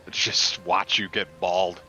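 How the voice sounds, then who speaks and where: A man's voice: deep voice, pushed and bright, dull audio. A man playing a tabletop game, brash and combative, arguing in character and joking. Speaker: player.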